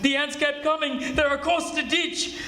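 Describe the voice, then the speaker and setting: A man's voice: extremely high pitch, flat delivery, normal speed, noisy background. A man playing an anxious, deferential servant in 1940s radio drama style, urgently reporting to his boss. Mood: alarmed. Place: live stage performance at a college. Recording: echoey live stage sound.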